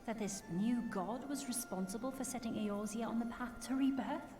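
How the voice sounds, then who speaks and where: Woman doing proud young noble girl from a fantasy game, highish voice, old-timey formal talk, loud music behind. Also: moderate pace, natural voice. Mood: grave, probing. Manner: formal, archaic diction. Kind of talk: fantasy video game voice acting. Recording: echoey game audio under loud music.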